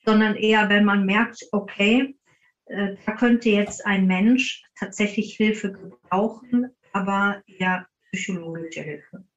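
Dull sounding recording, woman guest in an interview, mid pitch, informal explaining. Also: fairly even intonation, takes it slowly. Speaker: paranormal investigator, interview guest. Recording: dull, muffled band-limited sound.

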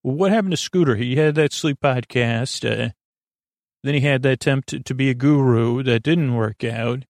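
A man hosting a bedtime podcast in a meandering, halting monologue, trailing off and restarting his thoughts, with a gravelly, creaky voice. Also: medium pitch, darkish tone, no echo, studio quality. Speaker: podcast host. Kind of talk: rambling bedtime monologue to help listeners sleep.

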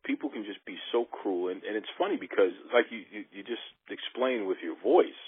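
Male radio host, deep and husky, earnest, repeats for emphasis; tinny phone-like sound. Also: brisk, dry recording. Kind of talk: radio interview.

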